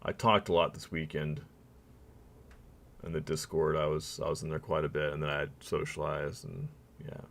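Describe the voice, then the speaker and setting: Deep gravelly man with a worn voice. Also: little pitch movement, moderate pace, fairly bright tone. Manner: thinks aloud, reads out game text, chats to viewers. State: weak, worn-out voice. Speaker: streamer. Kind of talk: live gameplay commentary.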